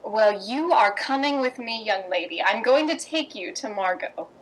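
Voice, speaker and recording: A woman's voice: on the high side, expressive, moderate pace, poor audio. A woman playing a kindly, worldly playwright's wife in a 1950s radio drama. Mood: friendly, brisk.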